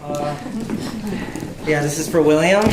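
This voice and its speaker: A man's voice: on the high side, slow. Hesitant young man in an echoey room. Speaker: student theatre member giving thanks. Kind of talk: casual thank-you speech. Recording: echoey room, faint crowd and noise.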